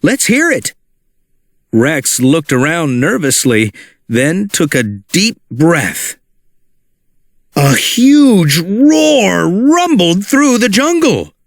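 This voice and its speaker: Male read-along narrator, lively and unhurried, dry close studio sound. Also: a little high, dry recording. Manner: animated, expressive reading for children. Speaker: storybook narrator.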